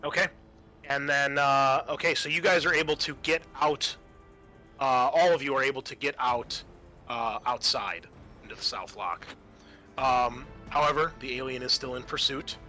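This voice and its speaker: A man acting as game master, narrating a tabletop roleplaying session in a halting, improvised way with many fillers. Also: mid-range voice, bright, forceful tone, faint music behind.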